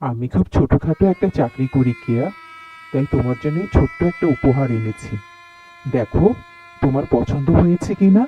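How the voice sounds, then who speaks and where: A man's voice: mid-range voice, lively intonation, brisk, dull audio, faint music behind, lightly acted. A man playing a humble, adoring young husband in a cartoon story, speaking tenderly and earnestly. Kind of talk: Bengali cartoon story dialogue.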